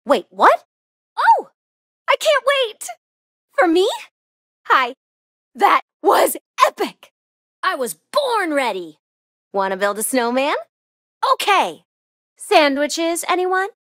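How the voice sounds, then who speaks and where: A woman with an American accent voicing a bubbly, eager, excitable young princess racer in a video game, gushing and slightly flustered. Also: high-pitched, slow, pauses often, no room sound, studio quality, exaggerated voice. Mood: excited, cheerful. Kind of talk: animated video game character voice lines.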